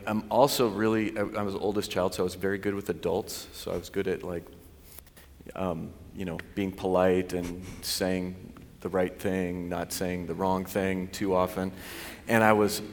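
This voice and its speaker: Middle-aged man, low voice, candid and self-deprecating testimony, long hall reverb. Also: slight background noise. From US Pacific Northwest. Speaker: college president. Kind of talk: personal testimony.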